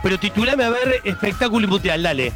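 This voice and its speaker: Male Argentine radio host, high register, joking casual banter over clear music. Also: lively intonation, quick pace. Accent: Argentine, Rioplatense. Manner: colloquial, jokey.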